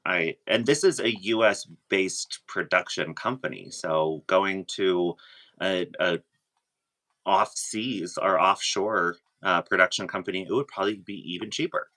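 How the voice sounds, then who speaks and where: A man chatting casually as a guest, sharing his own experience in a halting way, often rephrasing himself. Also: deep voice, bright, forceful tone. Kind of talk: casual chat.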